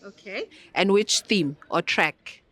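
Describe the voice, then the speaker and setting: A woman's voice: medium pitch, slow, a bit bright. A woman hosting a podcast interview, enthusiastic and affirming as she sums up her guest's answers.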